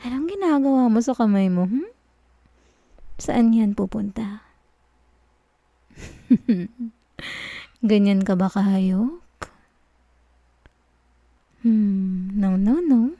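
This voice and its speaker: Female performer as a teasing, dominant professor; soft ASMR delivery, warm low-key tone, dry close sound. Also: expressive, extremely slow, long pauses, no echo, heavily acted. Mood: amused, coy. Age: adult. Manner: teasing, soft-spoken, chuckles often. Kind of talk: ASMR roleplay.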